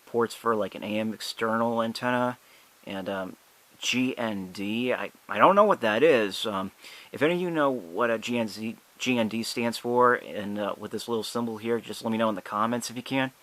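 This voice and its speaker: Halting young man with a bright, lowish voice. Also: low-quality recording. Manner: halting, hesitant, apologetic. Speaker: amateur product reviewer. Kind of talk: casual unscripted product demonstration.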